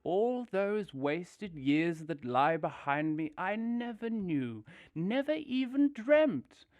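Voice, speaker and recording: Man as a rapturous storybook toad, high voice, sweeping swoops, grandiose, gushing, audio drama. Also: very animated, takes it slowly, darkish tone, dry recording, dull audio, heavily acted. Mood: dreamy rapture. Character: vain, rapturous male toad, dreamy and car-obsessed.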